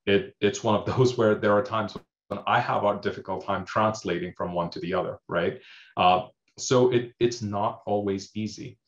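A man whose first language is Hindi, speaking as an emergency management panelist on a video call, explaining with many fillers and checking "okay?". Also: low-pitched. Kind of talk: panel discussion. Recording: roomy, dull video call audio.

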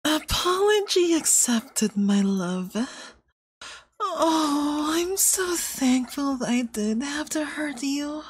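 A woman voicing a possessive, manipulative young femboy yandere boyfriend in ASMR roleplay, soft, breathy and cloying, coaxing with veiled menace. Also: high voice, big pitch swings, slow pace, heavily acted. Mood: sweetly manipulative.

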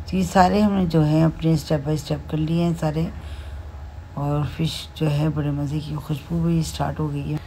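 A woman with a Pakistani Urdu accent walks viewers through a home recipe, her voice low and mellow. She talks in a relaxed, homely way, padding her steps with fillers. A faint background hiss sits under the close voice-over. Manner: unhurried, homely explaining with many fillers. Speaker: cooking channel host. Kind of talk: home cooking tutorial voice-over. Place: home kitchen.

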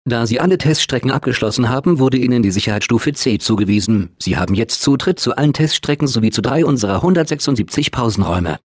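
A man plays a male automated announcer for a video game facility. He speaks at a medium pitch, formal and measured. His temper is unflappable and blandly upbeat, delivering everything as polite, deadpan official information. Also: no room sound, clean studio sound, natural voice. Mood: calmly official. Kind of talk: scripted game announcements, German dub.

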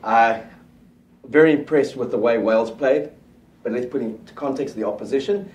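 A man's voice: mid-range voice, normal speed, far from the mic. Confident man giving rugby predictions, roomy room. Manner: confident, steady, lays out predictions. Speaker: rugby pundit. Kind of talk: casual rugby chat. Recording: roomy studio sound, mic set back.

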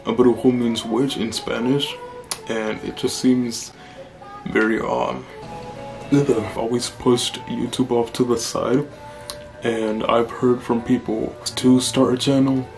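A man in his twenties with a deep voice, chatting casually through a Q&A vlog in a small room, blunt and relaxed. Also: slow, gravelly, music in the background. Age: 20s. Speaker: vlogger.

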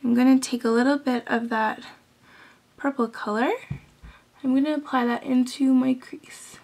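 A young woman in her twenties, hoarse from being sick, chatting through a step-by-step makeup tutorial with plenty of "um"s, recorded in a small bedroom on the camera mic. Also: sits high, steady tone, normal speed, a little mellow. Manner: chatty, instructive, frequent "um"s. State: hoarse from illness, losing her voice.

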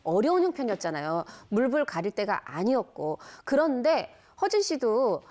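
A high, bouncy woman's voice, swinging up and down as she tells the story with busy hand gestures. She sounds like a reporter in her fifties on a bright TV studio set, speaking into a lapel mic. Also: normal speed. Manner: animated, gestures with hands while recounting. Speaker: entertainment reporter panelist. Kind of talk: lively studio talk show storytelling.

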